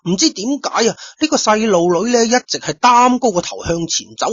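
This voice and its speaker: Guy narrating a detective story like he's chatting with you, husky voice, dull lo-fi dry sound. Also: sits high, normal speed, no echo. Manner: chatty, addresses listeners directly, lively asides. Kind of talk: first-person Cantonese storytelling. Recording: dull lo-fi dry studio recording.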